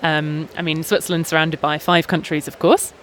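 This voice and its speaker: British guy hosting a podcast, pretty high voice, measured, faint street noise, outdoors in a square. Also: level tone, a bit bright. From Britain. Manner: measured, articulate, with small hesitations. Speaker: podcast host and journalist. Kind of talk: casual podcast talk. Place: outdoors in a busy town square.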